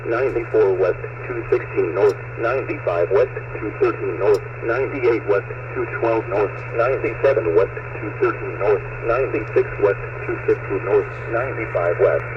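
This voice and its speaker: A man reading a formulaic marine weather bulletin in a flat, clipped, mechanical way over shortwave radio. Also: lowish voice. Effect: shortwave radio transmission. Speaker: marine weather forecast announcer. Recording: muffled, noisy shortwave radio broadcast, distant.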